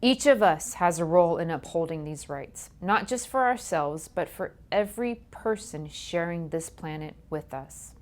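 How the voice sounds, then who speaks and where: A woman hosting a human rights program, delivering a scripted educational talk in a measured, earnest way, her voice fading quieter at the ends of phrases. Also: lowish voice, animated.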